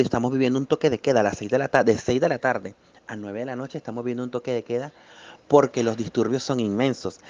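A man from Spain with a Venezuelan accent, a political scientist giving an emphatic, indignant testimony from Miami. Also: mid-range voice. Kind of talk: recorded personal testimony.

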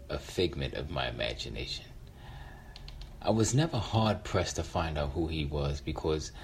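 A man's voice: rumbling low, slight background noise. A middle-aged man telling a personal story reflectively, drawing out phrases for dramatic emphasis. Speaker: featured storyteller.

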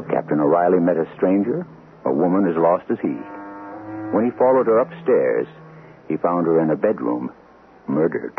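A male host narrates a vintage radio mystery. His voice is very deep and gravelly, with theatrical, sweeping intonation. The broadcast sound is narrow and old. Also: noisy background. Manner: theatrical, portentous.

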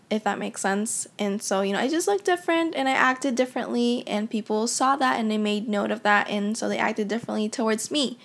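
A young woman who grew up in the Philippines, reflecting in a casual, conversational way with frequent fillers as she tells her own story. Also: medium pitch. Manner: reflective, conversational, many "you know" fillers. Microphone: audio only, unseen.

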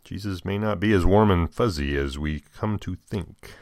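An American man serving as a deacon, reading scripture and adding folksy, deliberate commentary. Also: sits low, a little mellow, dry recording, clean studio sound. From United States.

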